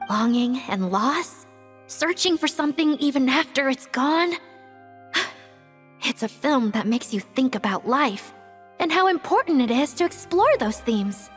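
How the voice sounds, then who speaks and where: A bubbly, theatrical young woman in an anime game. Her voice is very high and lively, carried by a long hall reverb with music behind. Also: full character voice. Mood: sincere, admiring. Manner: exuberant, expressive. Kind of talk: English anime game dub, scripted cutscene acting.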